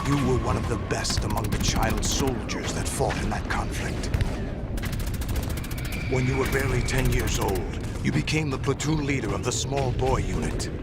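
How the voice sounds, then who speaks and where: An actor playing a cold, commanding villain and former warlord who speaks slowly and deliberately in a video game cutscene. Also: male voice, medium pitch, close to an everyday voice. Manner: slow, deliberate, imperious.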